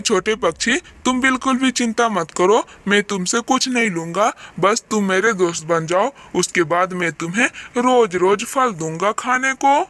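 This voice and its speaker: A woman's voice: mid-range voice, normal speed, few pauses, fairly bright tone, natural voice. A woman voicing a lonely, kindly big talking tree in a children's cartoon, pleading and reassuring. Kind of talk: children's cartoon story acting.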